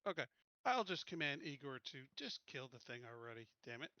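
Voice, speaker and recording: A man's voice: medium pitch, very animated, brisk, bright, forceful tone, no echo. A man chatting casually as a player in a tabletop game, talking into a headset. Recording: slightly dull headset audio.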